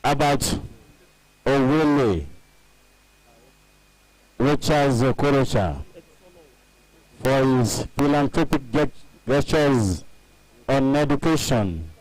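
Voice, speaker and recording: A man acting as master of ceremonies, announcing in a halting way. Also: medium pitch, animated, a little mellow, no room sound, rough recording.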